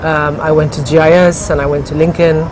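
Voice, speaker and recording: A woman's voice: low-pitched, flat delivery, fairly quick. A middle-aged woman from Ghana, answering interview questions in a thoughtful, hesitant way with many fillers. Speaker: interview guest, café co-founder. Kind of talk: conversational interview. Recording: noisy background.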